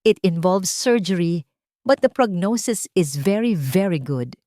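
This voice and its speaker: A woman voicing a calm male doctor. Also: on the low side, unhurried, dry recording, studio quality. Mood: reassuring.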